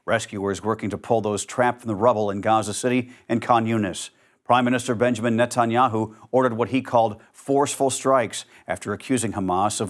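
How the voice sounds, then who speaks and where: A man reading scripted news as the anchor, in a measured, even newsreader delivery. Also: on the low side.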